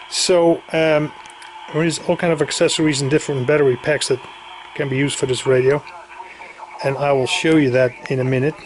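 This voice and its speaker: Male hobbyist demonstrator, mid-range voice, informal and slightly halting, some background noise. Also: medium pitch. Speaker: radio enthusiast presenting equipment. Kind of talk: casual hands-on demonstration narration.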